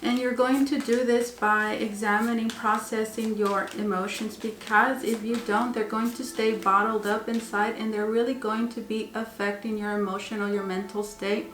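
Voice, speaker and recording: A woman's voice: on the high side, little pitch movement, moderate pace, few pauses, fairly bright tone. A woman giving a tarot reading to her viewers while losing her voice, rambling and repeating herself with many fillers. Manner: rambling, repeats words with many ums and uhs. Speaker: tarot reader. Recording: echoey room with faint noise.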